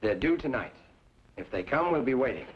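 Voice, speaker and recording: A man's voice: medium pitch, big pitch swings, a little fast, close to an everyday voice. An actor playing a defiant townsman in an old radio western, speaking in an emphatic, pointed way. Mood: defiant, urgent. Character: defiant crusading frontier townsman rallying men to fight. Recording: muffled, noisy old radio recording.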